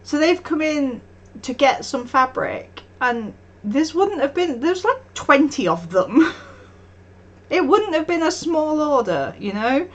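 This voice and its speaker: A woman's voice: high voice, very animated, takes it slowly, lo-fi sound. A woman streaming live, chatting casually and telling stories with frequent laughs and acted-out quotes. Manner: chatty storytelling, often laughing, acting out quotes. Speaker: art streamer.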